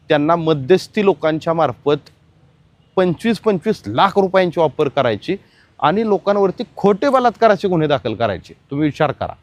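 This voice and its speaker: A man's voice: fairly high pitch, dry recording. A man presenting explanatory crime news commentary, emphatic and speaking straight to the audience.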